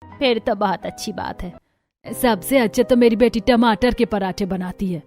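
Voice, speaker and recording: A woman's voice: medium pitch, moderate pace, a little mellow, faint music behind, natural voice. A woman voicing chatty, opinionated women of an Indian household in a cartoon family story. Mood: polite.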